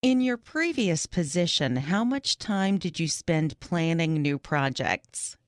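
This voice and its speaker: A woman playing an interviewer in a scripted practice interview for English learners, reading questions clearly. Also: sits low, animated, takes it slowly, dry recording, clean studio sound.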